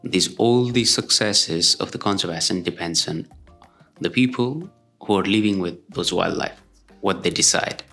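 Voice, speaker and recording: A young man with a Nepali accent, a conservation researcher explaining his work in halting, thoughtful sentences with restarts. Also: low-pitched, creaky, pushed and bright, soft background music. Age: young adult. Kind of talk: casual conversation.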